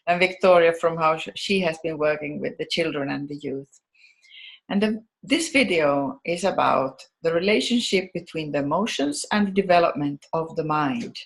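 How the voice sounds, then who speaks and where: Finnish-accented woman, measured coach. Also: low voice. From Finland. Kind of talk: introduction to an educational video.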